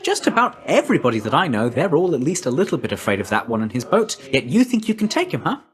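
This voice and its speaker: An actor playing a quick, chipper, cheeky young messenger god in a video game, with breezy, chummy, informal patter. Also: male voice, a little high, big pitch swings. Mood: amused, chummy. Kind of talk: video game character voice lines.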